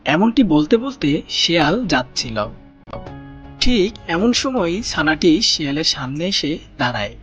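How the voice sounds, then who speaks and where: A man narrating a children's cartoon story in a gentle storybook manner, speaking to young listeners as friends. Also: fairly high pitch, very animated, unhurried. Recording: voice-over with loud background music.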